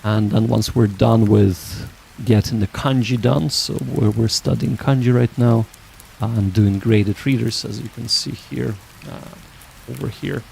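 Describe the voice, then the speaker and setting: A man hosting a livestream, chatting casually with viewers in a halting, rambling way that often restarts sentences. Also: mid-range voice, fairly even intonation, creaky, a little mellow, a little hiss or hum, rough recording. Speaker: livestream host.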